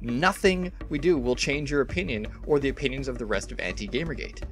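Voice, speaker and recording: A man's voice: medium pitch, a little fast, fairly bright tone, faint music behind, natural voice. A man dramatically reading the part of a combative, exasperated online debater, argumentative, emphatic and sweary.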